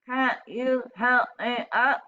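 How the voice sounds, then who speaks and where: A woman's voice: a little high, takes it slowly, bright, forceful tone, lo-fi sound, voice pushed up. A woman voicing a friendly little male chameleon who is eager to be noticed, as in a picture-book read-aloud. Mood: pleading.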